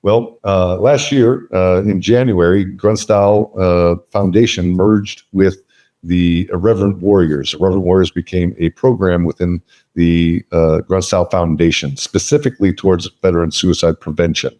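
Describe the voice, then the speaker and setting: Male guest, low mellow voice, emphatic and blunt, loud delivery. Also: fairly even intonation, moderate pace. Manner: emphatic, blunt, swears freely. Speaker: podcast guest, veteran business leader. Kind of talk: casual interview talk.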